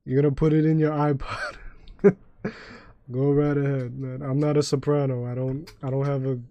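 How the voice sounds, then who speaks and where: Young guy on a game stream, deep mellow voice, cussing and joking around, keyboard clicks behind. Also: flat delivery, normal speed, no room sound. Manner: loose, profane, joking, sings badly on request. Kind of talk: casual gaming livestream chat.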